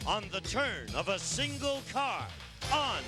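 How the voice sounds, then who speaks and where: A man announces a game show in a very high, swooping voice. He stretches his words out slowly, with loud music playing behind him. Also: sing-song.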